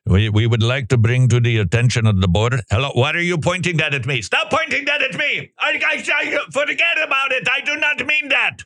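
A man voicing a frightened man in a put-on Iraqi accent, shouting in shrill panic. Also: lively intonation, fairly quick, few pauses. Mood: panicked.

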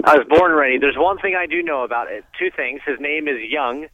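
A man's voice: a little high, fairly quick, dry recording. Wry male field reporter on a dull line. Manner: deadpan, wry.

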